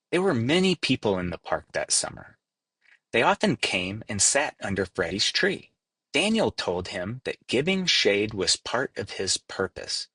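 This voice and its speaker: A man's voice: fairly low pitch, fairly bright tone, husky, no room sound. A man narrating a storybook as a scripted read-aloud. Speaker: storybook reader.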